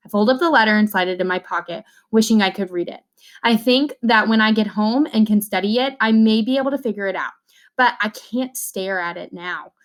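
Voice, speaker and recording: A woman reading a children's novel aloud in an expressive way, acting out the dialogue as she goes. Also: medium pitch, fairly quick.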